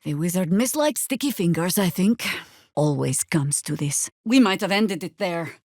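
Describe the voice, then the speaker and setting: An actress playing a world-weary, wry middle-aged veteran druid who leads rebels in a video game, with a dry, measured delivery. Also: female voice, fairly low pitch, expressive, darkish tone, no echo. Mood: reflective, wry. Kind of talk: video game character acting.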